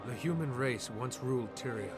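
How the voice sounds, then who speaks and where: An actor voicing a young human commoner hero, narrating a game cutscene in an earnest, solemn, determined tone. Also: male voice, mid-range voice, fairly quick, husky. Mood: resolute. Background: loud orchestral music.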